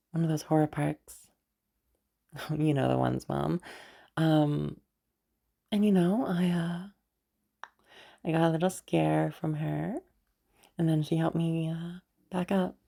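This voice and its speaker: Grown daughter for audio drama, played by a woman: defiant, sarcastic, pointed, with a low, creaky voice. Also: steady tone, slow pace, pauses often, warm tone, heavily acted. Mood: awkward. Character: defiant grown daughter standing up to her mother. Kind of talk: amateur audio roleplay acting.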